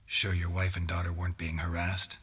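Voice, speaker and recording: An actor playing a grim, terse hunter for hire in a video game, speaking with a restrained, insistent rasp. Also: male voice, completely flat delivery, fairly quick, a bit bright, full character voice. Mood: probing. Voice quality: very deep, gravelly, breathy rasp. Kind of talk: video game character acting. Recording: reverberant hall.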